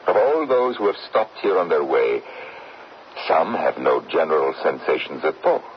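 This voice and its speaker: An actor playing a courteous, measured middle-aged father who hosts dead guests in an old radio drama. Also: rumbling low, big pitch swings. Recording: dull, noisy old radio broadcast.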